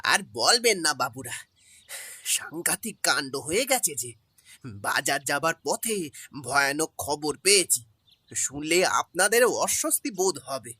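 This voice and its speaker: A man's voice: fairly high pitch, big pitch swings, unhurried, slightly rough, dry recording, exaggerated voice. A man voicing a flustered male household servant in an audio drama, who stammers and speaks urgently and deferentially. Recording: dry close mic over soft music.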